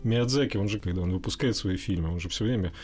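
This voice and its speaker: Low-voiced, tentative man being interviewed. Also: fast talker, a little mellow. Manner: tentative, thoughtful, repeats and rephrases. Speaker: animation director, interview guest. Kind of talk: conversational interview answers.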